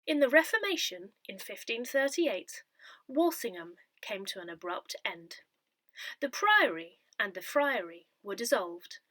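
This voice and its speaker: A woman narrates from a script in measured, clearly separated phrases. Her voice is bright and forward, with very lively rises and falls in pitch. The recording is close and dry, with little room sound. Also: no echo. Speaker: reader of an audio newsletter. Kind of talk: scripted narration, reading a parish newsletter aloud.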